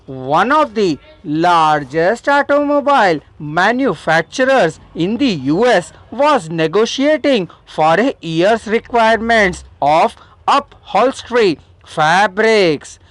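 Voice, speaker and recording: A man reading a self-help audiobook aloud in a slow, deliberate manner. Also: high-pitched, poor audio. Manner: slow, deliberate read with lively emphasis. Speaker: audiobook narrator.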